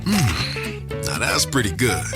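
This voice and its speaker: Male commercial voice, lowish and very varied in intonation, conversational, over prominent guitar music. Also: wildly swooping pitch, slow pace. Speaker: commercial announcer. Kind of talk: conversational scripted advertisement.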